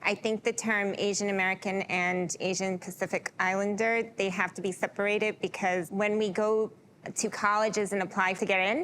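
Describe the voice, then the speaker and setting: A woman's voice: medium pitch, little pitch movement, moderate pace, a bit bright, slight background noise. A woman speaking as a panelist, plain and straightforward.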